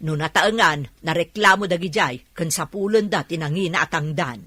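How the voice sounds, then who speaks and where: An actress playing a practical, questioning villager in a radio drama, giving advice bluntly. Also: female voice, on the low side, moderate pace, a bit bright, dry recording.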